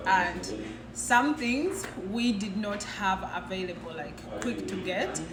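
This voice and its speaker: A woman's voice: mid-range voice, slow pace, gravelly. A young woman who grew up in Uganda, chatting casually as she bakes in her home kitchen and thinks aloud while she works. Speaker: baking vlogger. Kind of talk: casual kitchen vlog talk. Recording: roomy kitchen with background noise.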